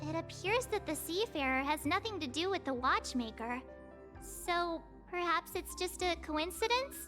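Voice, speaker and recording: A woman's voice: extremely high pitch, expressive, normal speed, bright, forceful tone, faint music behind, exaggerated voice. A woman voicing a curious, cheerful young girl who asks questions, in scripted video game acting. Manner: inquisitive.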